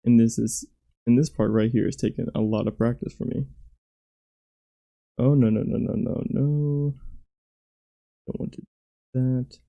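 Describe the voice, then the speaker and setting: Hoarse male game designer, very dark and gravelly, halting self-critical play commentary. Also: medium pitch, steady tone, highs cut off. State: hoarse, losing his voice. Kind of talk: gameplay commentary.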